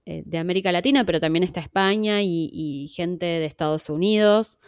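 An Argentine woman answers interview questions in a measured, patient way, pausing on fillers and closing points with "¿no?". Her voice sits a little low and sounds smooth and clean. The sound is dry and close. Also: no room sound. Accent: Argentine Spanish. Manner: measured, many fillers and "¿no?" tags. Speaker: podcast survey organizer. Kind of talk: interview guest explaining.

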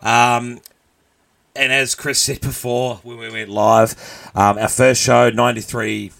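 A man in a middle pitch runs a homemade live podcast. He is chatty and loose and laughs easily between announcements. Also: little pitch movement, measured pace, vocal fry, fairly bright tone. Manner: laughs often, chatty, reads out stats. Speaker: podcast host. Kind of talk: casual live podcast hosting.

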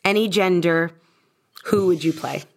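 A woman hosting a podcast in an easy, friendly chat. Her voice sits slightly low. She sounds enthusiastic and a little gushing as she leads the questions. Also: unhurried, slight background noise. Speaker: podcast host. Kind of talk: casual interview chat.

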